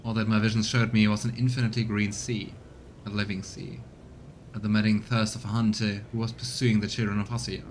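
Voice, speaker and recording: A man's voice: fairly low pitch, flat delivery, a little fast, slight background noise, lightly acted. A man voicing a scornful, grieving alien elf farseer from a game, solemn, haughty and archaic in speech. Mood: grave. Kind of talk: voiced reading of game dialogue. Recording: music behind the voice.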